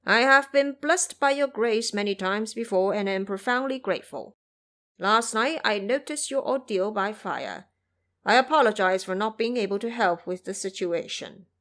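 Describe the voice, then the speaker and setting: A courteous bear monster for a fantasy audio drama, read by a woman. The phrasing is formal and obsequious, full of humble flattery. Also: mid-range voice, normal speed, lightly acted. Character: courteous, flattering male bear monster reading his invitation.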